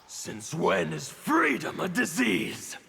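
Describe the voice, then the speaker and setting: High, gravelly fanatical anarchist. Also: male voice, sing-song, takes it slowly, very noisy, heavily acted. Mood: zealous, defiant. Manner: zealous, defiant. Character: fanatical anarchist revolutionary preaching violent freedom. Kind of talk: dramatic video game dialogue.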